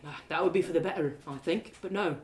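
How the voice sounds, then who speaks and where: Careworn man for an audio story, voiced by a woman: subdued lower pitch, terse, glum, resigned by temper. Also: fast, darkish tone, close to an everyday voice. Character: careworn, troubled man, weary son of robbers.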